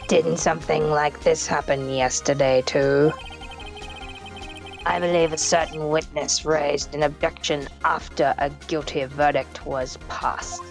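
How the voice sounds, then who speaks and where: A woman's voice: sits low, fairly even intonation, measured pace, creaky, faint music behind. A woman voicing a stern, contemptuous old male prosecutor with a haughty manner in a live fan dub of a game. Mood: commanding.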